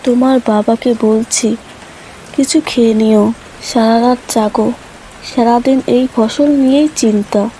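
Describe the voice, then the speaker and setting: A woman playing a careworn farmer's wife and mother in an animated folk tale. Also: on the high side, a little slow, natural voice, poor audio. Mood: fretting. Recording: faint hiss and rain noise.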